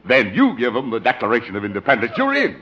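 An actor playing a wily, pompous small-time swindler posing as a campaign manager in 1950s radio comedy, with florid, theatrical salesmanship. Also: male voice, mid-range voice, huge pitch swings, quick pace, fairly bright tone, breathy edge, full character voice. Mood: triumphant, persuasive. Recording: muffled, noisy 1950s radio recording.

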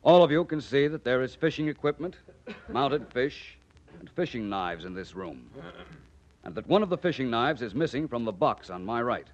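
An actor playing a suave, self-assured male detective who explains things politely and deliberately in 1940s radio drama style. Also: medium pitch, sing-song, close to an everyday voice. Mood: commanding. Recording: muffled, noisy 1940s radio recording.

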